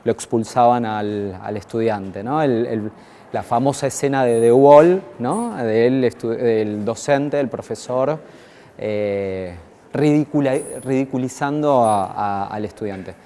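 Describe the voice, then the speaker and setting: An Argentine man speaking as an academic, reflecting aloud in a halting way, repeating phrases and searching for words as he checks in with listeners. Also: medium pitch, mellow, slight background noise. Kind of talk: reflective lecture on education.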